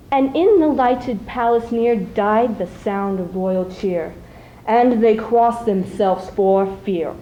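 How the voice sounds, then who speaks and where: A teenage girl, a high school student, recites poetry in a theatrical, measured way at a live event. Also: warm tone, low-quality recording. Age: teens. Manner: theatrical, measured, with dramatic dynamics. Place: live school showcase before an audience. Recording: faint noise, live event ambience.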